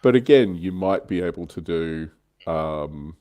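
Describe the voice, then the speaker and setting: A man's voice: low voice, lively intonation, a little slow, mellow. A man co-hosting a hobby livestream, chatting casually in a thoughtful, slightly hesitant way.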